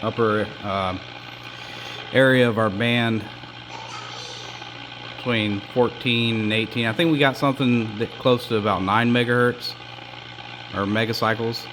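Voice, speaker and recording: A man giving a casual, halting hands-on demonstration as host of a repair channel, rambling as he explains. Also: medium pitch, steady tone, background noise. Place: indoors at home.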